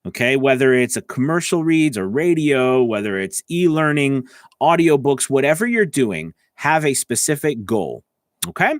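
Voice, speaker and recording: A man speaks as a coach hosting a live lesson, in an ordinary mid-range male pitch. His delivery is conversational and explanatory, often checking that the listener follows. The sound is dry, with hardly any room on it. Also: big pitch swings, normal speed, dry recording. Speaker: voice-over coach and show host. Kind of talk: casual live tutorial.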